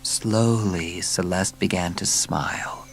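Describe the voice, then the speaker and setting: A man's voice: sits low, fairly even intonation, slow, vocal fry, soft background music. A man narrating a dramatised children's story with scripted, dramatic storytelling.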